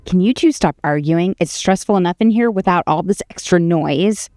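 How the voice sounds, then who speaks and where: A woman's voice: lowish voice, normal speed, a little mellow, no room sound, studio quality. A woman reading game dialogue aloud in character during a playthrough.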